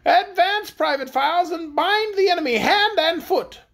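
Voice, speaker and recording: A shrill, imperious queen from a storybook, acted out by a woman narrator, pitched very high. She is scornful and short-tempered, and she hands down commands as if to an army beneath her. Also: very animated, a little fast. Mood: angry, commanding. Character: haughty, imperious, quick-tempered young queen leading an army. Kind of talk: storybook character voice.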